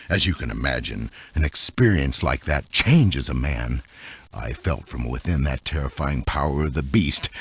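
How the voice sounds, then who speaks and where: Deep, raspy villainous general. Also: male voice, no echo, highs cut off, full character voice. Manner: theatrical, gloating, deliberate menace. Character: menacing, vengeful military general villain, grandiose and cruel. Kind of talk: video game villain voice acting.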